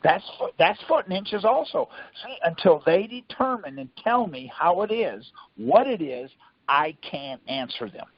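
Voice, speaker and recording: A man's voice: high-pitched, sing-song, a little slow, slightly rough. A man coaching by question and answer, insistently quizzing his listener in a Socratic way on a conference call. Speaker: teacher leading a group conference call. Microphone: conference phone call. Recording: muffled, lo-fi conference call audio.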